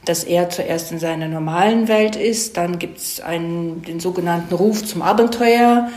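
A woman's voice: on the low side, normal speed, a little mellow. A woman explaining her craft conversationally as an interviewed screenwriter, feeling for phrases and often ending on tag questions. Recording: roomy, echoey sound.

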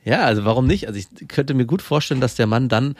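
A man co-hosting a podcast, giving thoughtful, measured relationship advice in casual chat. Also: medium pitch, lively intonation, no room sound. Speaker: podcast co-host.

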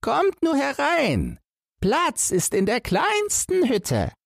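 A kindly old man from a fairy-tale cottage, hospitable and confiding. The voice is pushed far up in pitch and swings widely. He takes his time over the words. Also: wildly swooping pitch, creaky, dry recording, studio quality, heavily acted. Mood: welcoming. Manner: slow, high-pitched old-man storytelling. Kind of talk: fairy-tale audiobook character.

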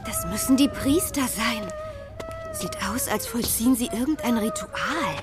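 A woman's voice: on the high side, huge pitch swings, measured pace, over loud music. A woman playing a clever, correcting young toy adventurer in a children's audio drama. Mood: cautious.

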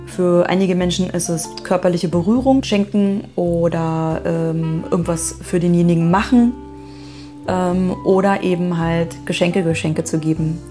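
Lowish mellow woman, laid back reflective talk, host of a homemade audio thing, faint music. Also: fairly even intonation, a little slow.

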